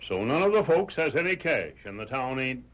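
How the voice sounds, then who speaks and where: A man's voice: medium pitch, big pitch swings, a bit bright, highs cut off. Swooping, folksy cowboy con man. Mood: wheedling. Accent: American Southern country. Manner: folksy, swaggering fast talker. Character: wheedling, boastful rustic ex-soldier con man. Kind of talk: old-time radio comic western acting.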